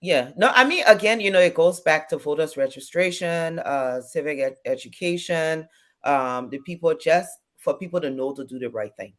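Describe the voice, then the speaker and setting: A man's voice: on the high side, lively intonation, normal speed, fairly bright tone. A man, a political candidate, who speaks hesitantly with many fillers in a panel discussion.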